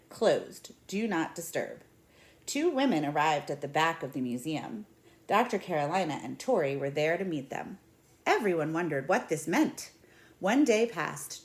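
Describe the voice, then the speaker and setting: A woman in her thirties reads a children's picture book aloud at home. Her voice sits a little low for a woman. She phrases things deliberately and with expression, as a storyteller would. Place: small indoor room at home.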